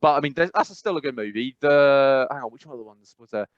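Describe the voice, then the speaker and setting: A man's voice: mid-range voice, expressive, fast talker, no echo. Rambling man on a dull, dry podcast mic. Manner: rambling, many fillers and restarts. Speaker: podcast co-host. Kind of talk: casual chat. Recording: dry, slightly dull sound.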